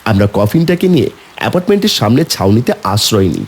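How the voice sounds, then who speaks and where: A man's voice: fairly low pitch, big pitch swings, fast, breathy edge, full character voice. A man narrating a horror story in the first person as a somber young man, brooding and suspenseful. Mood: eerie, suspenseful. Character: somber young man recounting an eerie burial.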